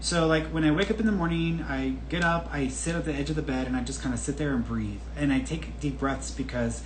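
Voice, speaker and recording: A man's voice: a little high, fairly quick. Chatty man in an echoey room. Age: 30s. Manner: chatty and candid, with frequent restarts and laughs. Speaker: podcast host answering viewer questions. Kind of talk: casual solo talk. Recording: echoey room with faint background noise.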